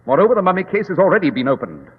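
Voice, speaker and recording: A man acting a brisk, logical, self-assured detective, precise and authoritative, in 1940s radio style. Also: high voice, very animated, breathy edge, exaggerated voice. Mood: commanding. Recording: dull, reverberant old radio recording.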